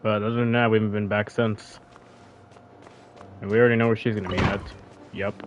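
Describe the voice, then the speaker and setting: A man's voice: on the low side, fairly even intonation, a little fast, noisy background. A man live-streaming a video game, chatting in a loose, rambling, informal way. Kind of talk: casual live-stream chat while playing. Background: faint game music.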